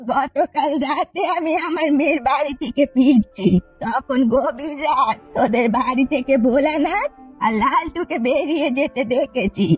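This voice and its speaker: Actress as elderly village granny, high-pitched, admonishing, plain-spoken, cartoon dialogue, faint music. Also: female voice, normal speed, close to an everyday voice. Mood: knowing, disapproving.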